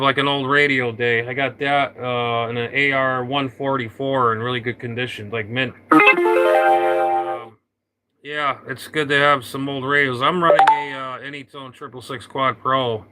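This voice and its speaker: A man chatting casually over his radio, rambling and halting with lots of fillers and restarts, talking into an old hand mic. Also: medium pitch, pushed and bright, slight background noise, low-quality recording. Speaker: radio operator. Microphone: vintage hand mic on a radio.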